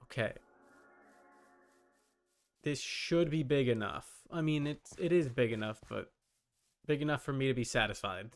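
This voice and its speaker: A man's voice: medium pitch, measured pace, long pauses, faint music behind. A man giving casual live gaming commentary, muttering to himself and chatting to viewers, often repeating his words. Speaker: game streamer.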